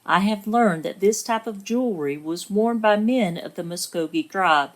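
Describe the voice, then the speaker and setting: An earnest woman voiced by an actress narrates a letter, wanting to be believed. Her voice sits a little low, and the scripted delivery is measured and sincere. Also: close to an everyday voice.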